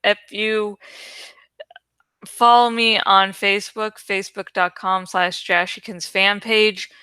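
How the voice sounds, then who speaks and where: Bright-voiced, sweary woman chatting casually. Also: mid-range voice, level tone, slow, clean tone, no echo. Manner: sweary and irreverent. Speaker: host of a reading channel. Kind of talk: casual chatty rambling to viewers.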